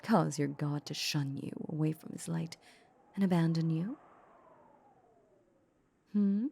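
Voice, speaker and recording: A woman playing a seductive, possessive female vampire in an intimate roleplay audio drama, teasing and condescending in a breathy half-whisper. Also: low-pitched, heavily acted. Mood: taunting, possessive. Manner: slow, teasing, condescending purr. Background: soft ambient sound effects.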